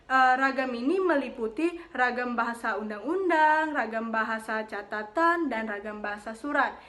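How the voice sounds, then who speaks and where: A young woman student reading a class presentation, steady but with frequent hesitant fillers. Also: fairly high pitch, moderate pace. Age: young adult.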